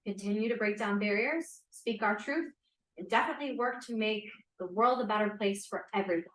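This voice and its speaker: A woman's voice: mid-range voice, brisk, a bit bright, close to an everyday voice. Rallying 1940s college woman actor, echoey room. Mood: rousing. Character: rallying, level-headed 1940s female college student. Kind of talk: script read-through acting.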